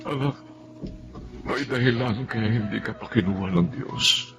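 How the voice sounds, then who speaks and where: An actor playing a gentle, worried older father in a Filipino radio drama. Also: lowish voice, measured pace, music in the background. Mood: pleading, consoling.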